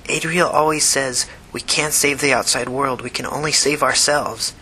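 A man voicing an earnest young woman, a devout cult follower who talks in an urgent, hushed, preachy way. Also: a bass voice, fairly even intonation, normal speed, slight background noise, poor audio. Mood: solemn, preachy.

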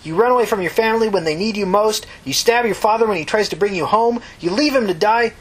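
A woman's voice: medium pitch, fast talker, husky, voice pushed up, natural voice, poor audio. A woman voicing a venomous, scornful woman who accuses emphatically in an audiobook story. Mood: furious, accusing. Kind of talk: audiobook character dialogue.

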